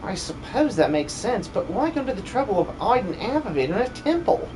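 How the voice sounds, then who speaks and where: A man voicing an excitable, inquisitive adventurer sidekick in an amateur video game dub, chatty and theatrical. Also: sits high, a little fast, noisy background. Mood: puzzled.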